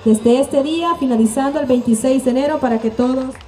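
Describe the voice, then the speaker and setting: High-voiced woman announcing. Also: a little fast. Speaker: event announcer. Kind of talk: festival announcing over PA. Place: outdoor evening town plaza. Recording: echoey PA with music behind. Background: loud music.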